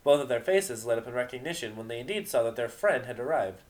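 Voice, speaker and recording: A man's voice: medium pitch, animated, quick pace. A man narrating a story in an amateur dramatic reading.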